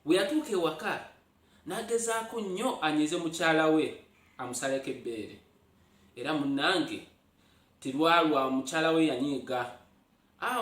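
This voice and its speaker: A man from Uganda with a Ugandan accent and Luganda as his native language tells folktales in an animated way, speaking directly to his listeners. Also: fairly high pitch. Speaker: storyteller, host. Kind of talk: oral folktale storytelling. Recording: echoey room, far from mic.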